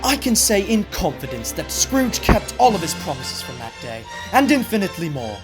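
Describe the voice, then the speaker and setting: A teenage boy narrating the close of a school stage play in a warm, measured storytelling manner. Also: high-pitched, big pitch swings, over music. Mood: reflective. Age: teens. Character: male storyteller closing the tale.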